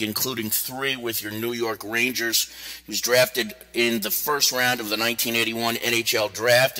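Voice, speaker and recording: Reverberant, bright-voiced male sports show host. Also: mid-range voice. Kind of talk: conversational radio interview hosting. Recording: long hall-like reverb.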